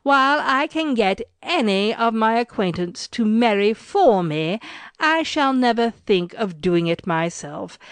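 Expressive, high-voiced woman reading aloud. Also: clear, resonant tone, no room sound. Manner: expressive, measured, deliberate. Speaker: audiobook reader. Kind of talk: dramatic literary reading of a letter.

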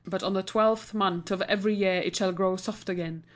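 A stern old male magician from a storybook, as a woman reading aloud puts him on. He hands down judgments in a measured, commanding way at an even middle pitch. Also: lightly acted.

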